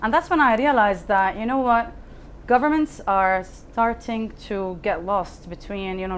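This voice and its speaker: A woman shares personal stories as a panel guest. She is candid and chatty, with a mid-range voice. There is faint background noise from a live event. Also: medium pitch. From Lebanon. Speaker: panel guest, fintech founder. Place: live talk event before an audience.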